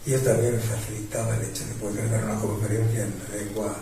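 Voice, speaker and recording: An older man, a painter, reminiscing in a relaxed interview with occasional laughs. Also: fairly low pitch, faint music behind. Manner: reminiscing, unhurried, warm laughs. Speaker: guest painter. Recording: distant mic with heavy echo.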